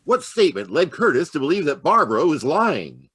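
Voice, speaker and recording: A man's voice: on the high side, very animated, fairly quick, music in the background. A man announcing a mystery program in scripted old-time radio style. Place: remote video call performance.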